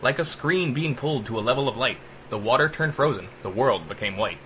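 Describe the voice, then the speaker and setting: Male narrator, medium pitch, bright forceful tone, theatrical rhythmic verse, dramatic audiobook reading, some background noise. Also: expressive, brisk, rough recording. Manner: theatrical, emphatic rhythmic delivery.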